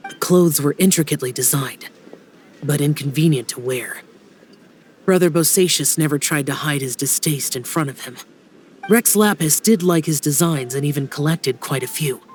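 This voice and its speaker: A man's voice: fairly high pitch, natural voice. A man playing a reserved, brooding young immortal guardian in a dubbed fantasy game, speaking solemnly and formally, with some hesitation. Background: soft music.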